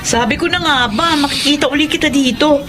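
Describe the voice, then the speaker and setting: A woman's voice: high-pitched, brisk, music in the background, lightly acted. An actress playing a friendly, generous woman in a Filipino radio drama, eager and chatty. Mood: cheerful, helpful. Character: friendly, generous young woman offering work. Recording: radio drama with noisy ambience.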